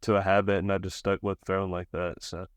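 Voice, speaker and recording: A young man, around college age, giving casual, low-key interview answers as a baseball player. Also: little pitch movement, fast talker, clear, resonant tone, no echo, studio quality. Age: young adult, about 20.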